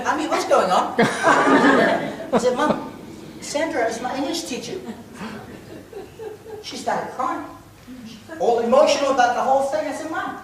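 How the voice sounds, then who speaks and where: Man, high voice, slow storytelling, comic speech contest, echoey hall from far off. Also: background noise. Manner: telling a comic story to an audience with pauses for laughs. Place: large hall, public speaking contest. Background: audience laughter.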